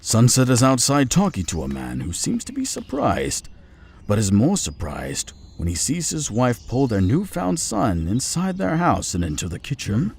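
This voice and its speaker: A man's voice: mid-range voice, wildly swooping pitch, moderate pace, vocal fry, darkish tone, slightly rough. A man reading the narration of a visual novel. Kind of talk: storybook narration.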